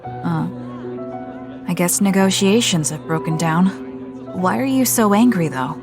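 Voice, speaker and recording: A cool, blunt young heroine, lowish voice. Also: female voice, music in the background. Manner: measured, blunt, matter-of-fact. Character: calm, blunt young woman, soldier and protagonist. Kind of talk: anime game cutscene acting.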